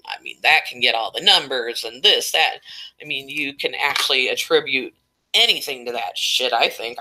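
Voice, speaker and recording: Woman, stuffed-up nose, fading voice, bright tone, rambling live stream chatter. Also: rumbling low, poor audio. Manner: rambling, chatty, reading chat names aloud. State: stuffed up and losing voice. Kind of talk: casual live stream chat.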